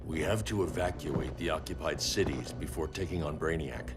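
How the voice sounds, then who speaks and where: A man's voice: a bass voice, moderate pace, over loud music. An actor playing a grim male hero leader giving clipped, commanding orders. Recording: loud score underneath.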